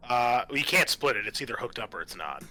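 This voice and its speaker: A man with a bright, forward voice works as game master. He explains casually, feeling his way through the details, with faint music underneath. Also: medium pitch, lively intonation, a little fast. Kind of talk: casual tabletop game narration.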